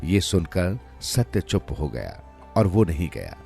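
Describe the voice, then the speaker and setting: A man with a low-pitched voice tells moral stories from a script. His delivery is measured and expressive, with lively swings in intonation, and faint music plays underneath. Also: creaky. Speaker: storyteller narrator. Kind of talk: scripted narration of moral tales.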